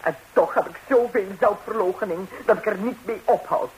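An actress playing an impulsive, impertinent gossiping busybody in a 1960s radio comedy, exclaiming theatrically on a hissy recording. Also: female voice, mid-range voice, moderate pace, a little mellow, lightly acted, poor audio. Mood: offended. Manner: exclamatory, indignant, dramatically swooping delivery.

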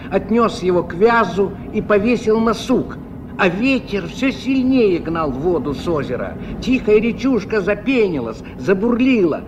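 Higher-voiced man narrating a radio drama. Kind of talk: dramatic literary narration, 1970s Soviet radio. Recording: dull, faintly noisy old radio recording.

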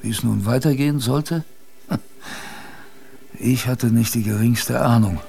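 Slow-spoken, gravelly seaman storyteller. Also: medium pitch, mellow, a little hiss or hum. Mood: sombre, reflective. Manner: colloquial, halting, musing storyteller. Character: plain-spoken seaman recalling a polar shipwreck to a girl. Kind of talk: radio drama first-person narration.

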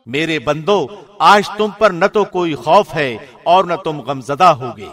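A man with a fairly high-pitched voice reads translated scripture. His delivery is formal and measured, in the manner of a radio presenter working from a script. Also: a bit bright. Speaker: translation reader, radio host.

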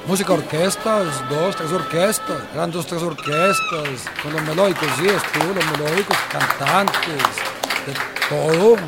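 Male interviewee, animated higher voice, informal recollection, loud crowd behind. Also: normal speed. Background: loud music, crowd.

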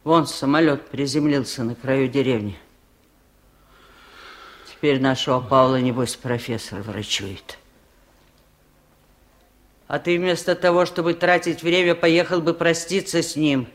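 An older actress in a Soviet radio play, playing a wise, stubborn old village aunt with a wry, chiding manner. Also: female voice, deep voice, measured pace, long pauses.

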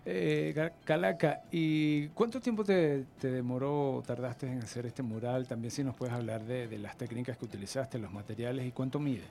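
A man hosts a radio interview with a gravelly, creaky edge to his voice. He asks gentle questions and fills the gaps with short affirmations. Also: mid-range voice, fairly even intonation, normal speed, hardly any pauses. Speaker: radio host.

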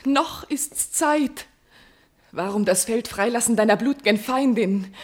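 An actress playing a noble, courageous young princess in love, declaiming impassioned verse in a classical German radio tragedy. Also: female voice, on the high side, slow. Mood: urgent pleading.

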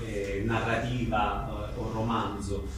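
A man interviewing a guest live, phrasing his questions in a conversational, tentative, halting way. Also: on the low side, flat delivery. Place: echoey venue at a live book presentation. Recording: very echoey room, distant mic, faint noise.

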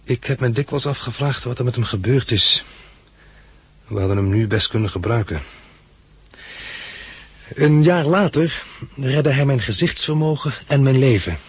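A man's voice: mid-range voice, moderate pace, pauses often, husky, dry recording. An actor in a 1960s radio drama playing a dry, sardonic man who narrates and speaks as a patient. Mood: sceptical, dry. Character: bandaged male hospital patient and narrator, wry, sardonic.

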